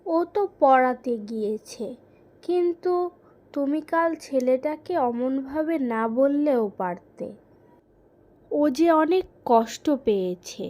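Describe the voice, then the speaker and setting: A woman voicing a caring, worried housewife and mother in a cartoon, with a gentle, coaxing manner. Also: high-pitched, expressive, takes it slowly. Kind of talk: cartoon voice acting.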